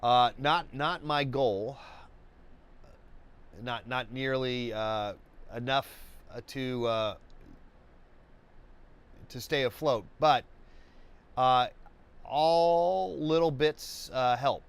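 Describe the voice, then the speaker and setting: A man hosting a live painting webcast, congested and stuffy, talking in a slow, rambling way with many restarts and fillers. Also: medium pitch, clean tone. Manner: halting, many restarts and fillers, laid-back. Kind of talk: rambling live-stream chat.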